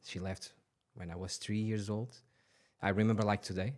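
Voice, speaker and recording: Low-voiced man in his forties from Portugal, podcast guest, earnest, halting, restarts often. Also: flat delivery, a little mellow. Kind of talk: personal life story.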